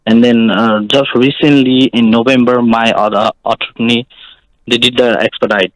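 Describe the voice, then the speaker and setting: Polite, hesitant caller from Nepal. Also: male voice, medium pitch, fairly even intonation, normal speed, no echo, rough recording.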